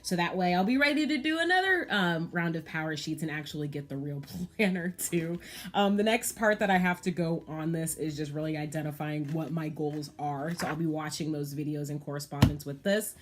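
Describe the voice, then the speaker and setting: A woman's voice: low voice, a little fast. A woman in her thirties chatting candidly to camera about planning, with frequent ums and you-knows. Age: 30s. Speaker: planner vlogger. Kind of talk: casual chat to camera.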